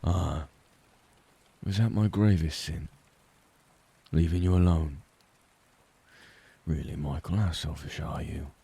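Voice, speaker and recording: A man reading a story, voicing a raspy, menacing middle-aged father who taunts his son in a cold, sneering way. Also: extremely low pitch, fairly even intonation, slow pace, long pauses, warm tone, exaggerated voice. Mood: mocking. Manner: taunting, sneering, drops to whispers.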